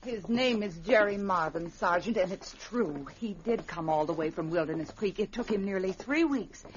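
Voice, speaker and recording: An actress playing a caring, determined frontier nurse in an old-time radio drama, earnest and spirited. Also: female voice, medium pitch, animated, normal speed, natural voice. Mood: concerned. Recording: muffled, noisy vintage radio recording.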